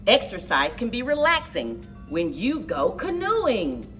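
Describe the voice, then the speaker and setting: A middle-aged woman speaking to young children as a story time host, with exaggerated, drawn-out storyteller delivery. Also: on the high side, wildly swooping pitch, fairly bright tone, far from the mic, soft background music. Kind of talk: expressive picture-book read-aloud and leading action activity for children. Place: indoors, children's library room.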